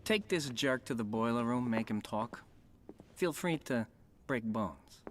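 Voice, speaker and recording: A man's voice: mid-range voice, expressive, unhurried, a little hiss or hum, lightly acted. A man playing a corrupt, domineering police lieutenant in a video game, cold, contemptuous and threatening. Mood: cold menace. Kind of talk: video game cutscene acting.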